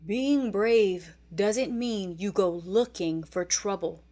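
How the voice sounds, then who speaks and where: A woman's voice: medium pitch, takes it slowly, mellow, natural voice. A woman voicing a solemn, protective male lion king and father in a storybook. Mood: tender, solemn.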